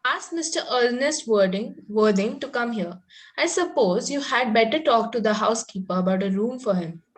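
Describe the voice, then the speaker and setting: A woman's voice: fairly high pitch, animated, normal speed, close to an everyday voice, rough recording. A woman reading an earnest, precocious young Victorian lady in a comedy table read, formal with some stumbles over the script. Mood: curious.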